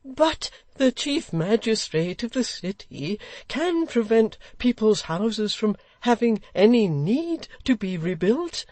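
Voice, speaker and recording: Woman doing a shrill choleric old gentleman. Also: big pitch swings, takes it slowly, no echo. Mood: indignant. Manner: pleading, indignant outbursts.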